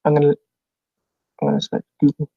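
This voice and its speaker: A man speaking casually and haltingly as a guest in an unscripted debate, through muffled call audio. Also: dark tone, no echo. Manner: hesitant, halting.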